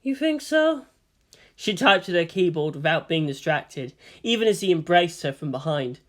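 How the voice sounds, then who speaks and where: A woman's voice: low-pitched, normal speed. A woman reading a story aloud as scripted narration. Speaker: fan fiction reader and narrator.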